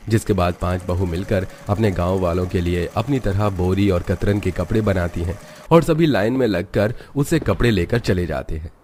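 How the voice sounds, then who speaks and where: A man's voice: on the low side, a little mellow. A man narrating a scripted cartoon story as its storyteller.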